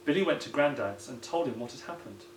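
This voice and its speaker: A man reads to young children as a primary school teacher would. His intonation is lively, rising and falling a lot. The recording is echoey, with a little background noise. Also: mid-range voice, fast talker. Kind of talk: children's story read-aloud. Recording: roomy echo, some background noise.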